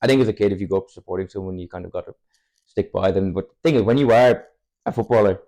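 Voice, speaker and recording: A young Irish man in his twenties, a native Irish speaker, talks at a rapid clip in a low, gravelly voice. He rambles candidly through his answers and chuckles often as a podcast guest. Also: mellow. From Ireland, Cork. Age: 20s. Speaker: footballer guest. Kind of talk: casual podcast chat.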